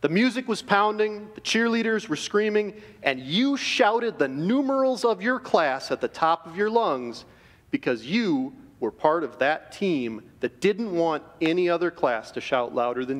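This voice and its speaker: Middle-aged man, earnest chapel talk, slightly high voice, long auditorium reverb. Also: animated. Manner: earnest, measured, addressing students directly. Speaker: chapel speaker. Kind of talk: school chapel devotion. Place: large school auditorium stage. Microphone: podium microphone.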